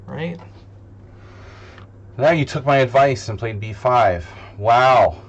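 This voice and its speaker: A man streaming chess, thinking aloud in casual, deliberating commentary, muttering to himself between pauses. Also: medium pitch, lively intonation. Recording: dull lo-fi sound, loud hum.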